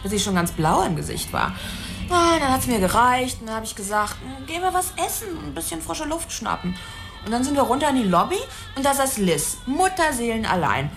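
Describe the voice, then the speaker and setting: A woman's voice: fairly high pitch, big pitch swings, a little fast, vocal fry, over music. An actress playing a brash young call girl in a radio play, streetwise and crude, talking in offhand slang. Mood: crude storytelling. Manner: slangy, drawling, offhand frankness. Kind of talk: dramatic radio play dialogue.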